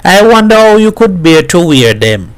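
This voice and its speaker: A man's voice: high-pitched, sing-song, normal speed, smooth, voice pushed up, rough recording. A man voicing a curious, respectful young Trinidadian boy in a storybook reading.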